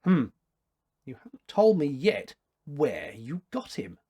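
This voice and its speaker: Guy doing a grand older lady painter from a storybook, bossy and witty, swooping intonation, slightly husky. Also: a little high, huge pitch swings, slow pace, warm tone, exaggerated voice. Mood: blunt, brisk. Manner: formal, arch, commanding wit. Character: famous older woman painter, stately, blunt, teasing.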